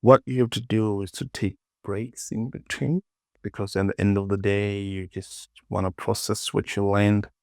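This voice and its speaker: A man hosting a casual software tutorial, thinking aloud and muttering repetitively to himself as he works. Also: on the low side, flat delivery, moderate pace, creaky, no room sound, clean studio sound. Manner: muttering, repetitive self-talk. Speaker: 3D modeling tutorial host.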